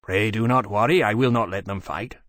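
A man's voice: fairly low pitch, a little fast, fairly bright tone, dry recording, clean studio sound, close to an everyday voice. A man voicing an earnest, eager, somewhat bossy male dragon with formal, precise phrasing in an audiobook. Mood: scolding.

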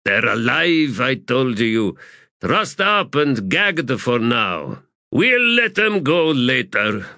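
A man's voice: mid-range voice, very animated, takes it slowly, pushed and bright, no echo, studio quality, voice pushed up, exaggerated voice. A man voicing a babbling, callous soldier shaman in an audiobook, with folksy bad grammar. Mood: defensive. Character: slovenly, chatty male soldier shaman, cheerfully callous.

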